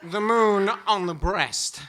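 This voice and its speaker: A man impersonating an eccentric older actor with halting, deadpan phrasing in a comic stage reading of a poem. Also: high-pitched. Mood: animated.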